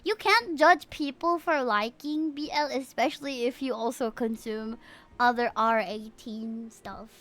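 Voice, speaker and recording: A woman's voice: a little high, measured pace, fairly bright tone. A young woman livestreamer from the Philippines talking casually and candidly to her viewers, in an informal way. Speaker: livestreamer playing a video game.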